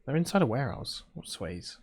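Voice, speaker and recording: A British man hosting a game playthrough, chatting casually and reading story text aloud in a low-key, halting way. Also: sing-song, husky. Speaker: gaming video host playing a visual novel.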